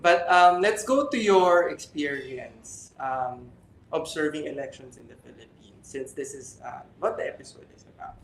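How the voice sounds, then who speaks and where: Slightly high-pitched male podcast host. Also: a little hiss or hum. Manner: friendly, quick back-channel replies. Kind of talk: hosting a panel interview. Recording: echoey room.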